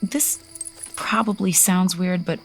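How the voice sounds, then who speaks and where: An impulsive, curious young princess in an audio drama. Her voice sits a little low and sounds breathy and husky. She talks in a quick, confiding way. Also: fairly even intonation, faint music behind. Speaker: supporting character.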